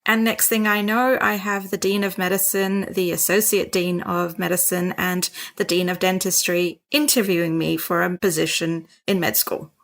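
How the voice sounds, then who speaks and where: Lively woman with a gravelly edge. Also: lowish voice. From Sri Lanka. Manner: lively, self-mocking, quick bursts. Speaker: doctor, guest. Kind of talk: casual storytelling interview.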